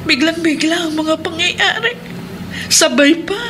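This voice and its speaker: A woman's voice: extremely high pitch, a little slow, music in the background. A woman playing a policeman's worried, ailing wife in a radio drama, speaking in a distressed, emphatic way. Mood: anguished. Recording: noisy radio drama with ambience.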